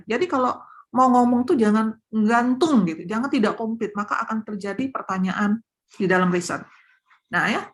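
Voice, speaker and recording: A woman lectures on academic writing in a high, lively voice. She stresses her main points through repetition and speaks directly to her audience. It sounds like a guest speaker on a webinar. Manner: animated, repeats key points, addresses audience directly. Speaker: professor and invited speaker.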